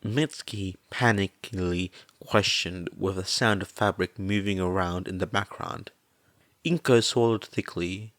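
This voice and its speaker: A man's voice: sits low, a little slow, a bit bright, dry recording. A man reading a story aloud as scripted podfic narration.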